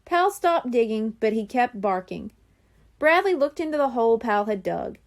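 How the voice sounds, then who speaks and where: Female storybook reader, high voice, lively and expressive delivery for young listeners. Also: moderate pace. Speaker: reader of a children's chapter book. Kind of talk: expressive storybook read-aloud.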